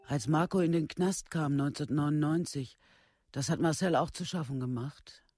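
An actor playing a worried, worn-down village parent in a radio play, in plain, halting colloquial speech. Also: male voice, on the high side, a little mellow, no echo, natural voice. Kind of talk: documentary radio play monologue.

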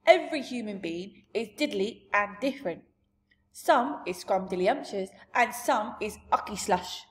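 A woman reading a storybook aloud, voicing a huge, jolly male giant who booms and shouts in mangled grammar. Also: expressive, unhurried, close to an everyday voice. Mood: booming.

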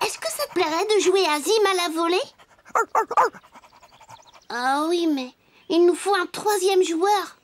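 A woman's voice: extremely high pitch, unhurried, pauses often, a little hiss or hum. A woman voicing a cheerful, friendly little wooden toy boy in a cartoon, childlike and encouraging. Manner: bright-spirited, childlike, encouraging. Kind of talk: French cartoon dub acting.

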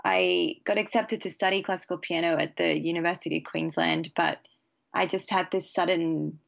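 A young woman, a bassist chatting casually as a podcast guest in a relaxed, easy way. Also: moderate pace, vocal fry, highs cut off. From Australia. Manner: relaxed, chatty, laughs easily. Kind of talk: casual interview chat.

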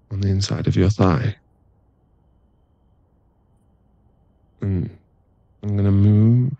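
A man acting as an affectionate werewolf boyfriend in a close ASMR roleplay. The voice is low and gravelly, very mellow and relaxed, with soft, coaxing, halting phrases. It sits dry and close to the mic. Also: no echo, highs cut off, heavily acted. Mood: playful, teasing. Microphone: close microphone.

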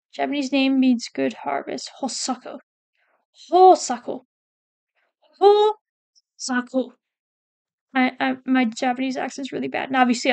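A woman with a high voice and a gravelly fry chats casually through a ranking, trailing off and restarting as she reads lines aloud. The sound is close and dry, with no room around it. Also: no echo. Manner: chatty, hesitant, self-correcting, reads quotes aloud. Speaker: PNGtuber ranking game characters. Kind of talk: casual commentary while reading a wiki.

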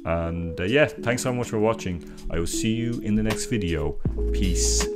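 A man's voice: lowish voice, normal speed. A young man from Dublin with a Dublin Irish accent, narrating a true story in an earnest, chatty way from a small room at home. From Dublin, Ireland. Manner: earnest, chatty commentary with restarts. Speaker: YouTube storyteller. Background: faint music.

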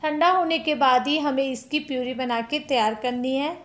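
A woman's voice: high-pitched, normal speed, a bit bright. A woman hosting a cooking channel, chatting step by step through a recipe in a home kitchen and calling her viewers friends.

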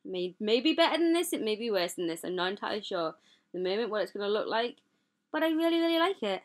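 A woman's voice: medium pitch, big pitch swings, fast talker. Gushing chatty woman. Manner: gushing, chatty, rambling with self-deprecating asides. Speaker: gaming channel host. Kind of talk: casual game tour commentary. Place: voice-over over gameplay footage.

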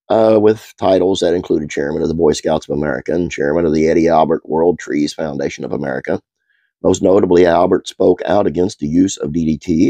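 A middle-aged man hosting a casual trivia video at home, stuffed-up and congested, talking in a relaxed, halting way with many uhs. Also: rumbling low, gravelly, no room sound. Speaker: host.

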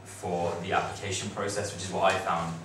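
Young man, deep flat voice, echoey room. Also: fairly quick. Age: young adult. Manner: chatty, loose, many fillers and restarts. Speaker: student on a study-abroad panel. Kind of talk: casual informal talk to students. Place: classroom with projector screen. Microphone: far camera. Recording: echoey room, distant mic, noisy.

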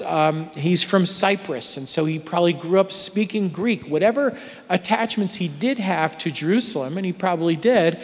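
A middle-aged man teaching a Bible study class in a church sanctuary, talking conversationally and checking in with his listeners. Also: high-pitched. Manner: conversational, often checks in with "right?". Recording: reverberant church hall.

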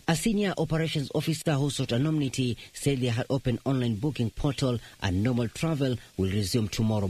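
A Kenyan man narrates a news report in a measured, scripted way. His voice sits at a medium pitch and has a husky, slightly rough grain. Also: darkish tone.

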